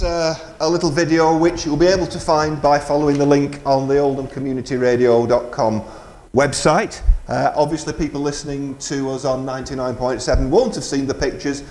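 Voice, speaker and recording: A man's voice: mid-range voice, a little mellow. A man, presenting and narrating for community radio.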